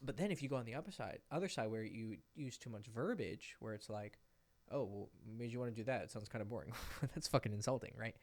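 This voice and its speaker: A man giving casual dating advice, talking loosely and animatedly and acting out bits of example conversation, with a voice he calls fairly high-pitched. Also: fast, vocal fry, a little mellow. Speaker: dating coach. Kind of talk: casual advice monologue to camera. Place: indoors, sitting in a rocking chair.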